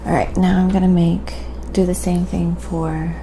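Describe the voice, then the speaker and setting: A soft-spoken woman chatting gently and unhurriedly on a quiet ASMR painting livestream, with a creaky, sometimes breathy voice. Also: on the low side, little pitch movement, moderate pace. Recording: clearly audible background noise.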